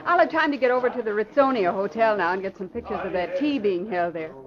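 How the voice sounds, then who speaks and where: An actress playing a quick-witted young news photographer, genteel and polite, in 1940s radio style. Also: female voice, mid-range voice, close to an everyday voice. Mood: friendly, brisk. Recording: muffled old radio recording, crowd noise.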